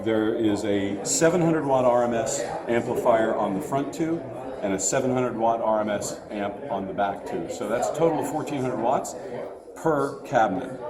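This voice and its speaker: A man representing a company gives an unscripted, technical product demonstration, often hesitating as he explains. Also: fairly low pitch. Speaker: loudspeaker company representative presenting a product line. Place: indoor trade show floor. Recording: busy crowd noise around the voice. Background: crowd chatter.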